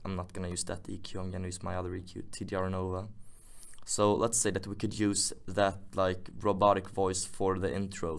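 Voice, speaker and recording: A man presenting an informal music software tutorial, casually thinking aloud as he works. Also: sits low.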